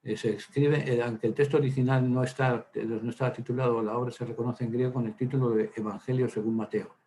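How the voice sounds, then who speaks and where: A man's voice: medium pitch, fairly even intonation, quick pace, warm tone, a little hiss or hum. A man, a priest, teaching a Bible workshop in a chatty, digressive way, with many hesitant fillers and side stories. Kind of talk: informal Bible lecture.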